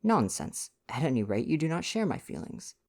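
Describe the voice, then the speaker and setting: A man plays a cheerful, romantic gentleman companion from a storybook. He is eager and exclamatory, with very animated pitch and emphatic, buoyant phrasing over a creaky voice. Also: moderate pace, heavily acted. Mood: dismissive.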